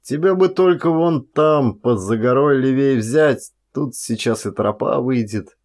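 A man's voice: mid-range voice, measured pace, darkish tone, voice pushed up, close to an everyday voice. A man voicing a reluctant, kind-hearted rustic forest watchman who grumbles in a folksy drawl in an audiobook story. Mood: exasperated.

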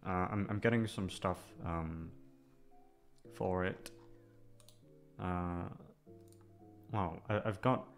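A man's voice: low voice, slow pace, long pauses. A man chatting casually to his listeners in a hesitant, meandering way, close on the microphone. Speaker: audio roleplay voice actor. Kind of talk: casual rambling outro to listeners. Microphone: close studio microphone. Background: music.

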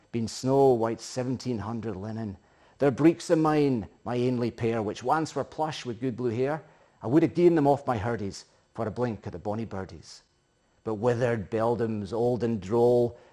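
A middle-aged man with a Scottish accent giving a theatrical, emphatic recitation of a narrative poem in Scots, swelling and hushing as he goes. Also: medium pitch. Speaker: poetry reciter. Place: living room, indoors.

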